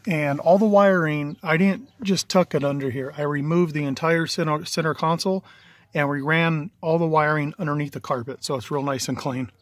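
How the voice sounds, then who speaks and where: Man with higher-pitched voice, casual walkthrough. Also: fairly even intonation, moderate pace, dry recording. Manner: plain-spoken, matter-of-fact, folksy. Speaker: DIY installer presenting own work. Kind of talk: casual video walkthrough narration. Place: in and around a parked vehicle.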